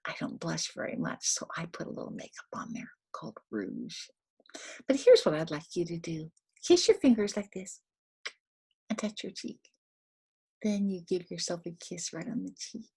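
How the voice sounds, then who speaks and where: An older woman reads a picture book aloud to toddlers, speaking simply with questions and little instructions, in a breathy, husky voice. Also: lowish voice, darkish tone. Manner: simple, instructive, asking the child questions and giving prompts. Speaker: storyteller for young children.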